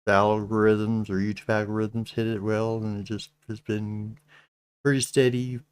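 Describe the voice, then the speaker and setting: A middle-aged man with a Texas accent, hosting a casual livestream chat, speaking slowly with many halting restarts. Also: on the low side, little pitch movement, clean tone, no room sound. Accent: Texan. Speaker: channel host.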